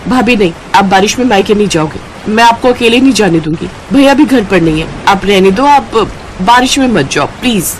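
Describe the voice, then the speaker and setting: A woman's voice: mid-range voice, animated, fairly quick, slight background noise, close to an everyday voice, poor audio. A woman voicing a caring, anxious young sister-in-law in a cartoon story, pleading and concerned. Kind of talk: Hindi cartoon story voice acting.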